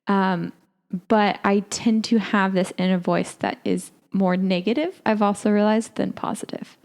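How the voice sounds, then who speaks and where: A young adult woman co-hosting a podcast, talking in a reflective, halting way with frequent filler words. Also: mid-range voice, steady tone, gravelly, a little mellow. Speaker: podcast co-host. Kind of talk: casual chat.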